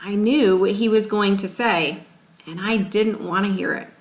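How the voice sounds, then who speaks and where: A woman's voice: mid-range voice, measured pace. Woman reading a storybook in an echoey room. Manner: expressive, addressing children directly. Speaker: third grade teacher reading aloud. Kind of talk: storybook read-aloud.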